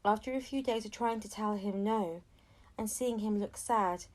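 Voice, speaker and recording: A woman's voice: mid-range voice, normal speed, darkish tone. A woman reading a children's story aloud as an audiobook narrator, expressively and acting out the dialogue. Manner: acts out dialogue between narration. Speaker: audiobook reader.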